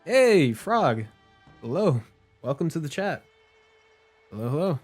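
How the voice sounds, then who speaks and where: A man's voice: on the high side, big pitch swings, a little slow. A man doing casual gaming stream commentary, informal and prone to swearing, with game music behind him. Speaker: livestreamer.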